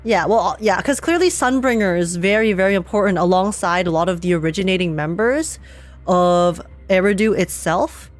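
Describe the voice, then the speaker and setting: A woman's voice: on the low side, moderate pace, faint music behind. A woman streaming a game, giving live reaction commentary and thinking aloud in a rambling way.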